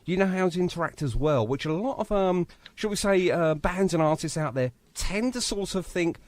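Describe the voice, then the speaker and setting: Lively male radio host, close dry sound. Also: sits high, moderate pace, no room sound. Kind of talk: casual live radio interview.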